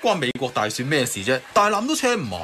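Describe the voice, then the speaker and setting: Bright, scoffing Cantonese man. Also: medium pitch, big pitch swings, fast, slight background noise. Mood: mocking. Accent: Hong Kong Cantonese. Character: mocking, sceptical man teasing his friends. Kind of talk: radio drama acting.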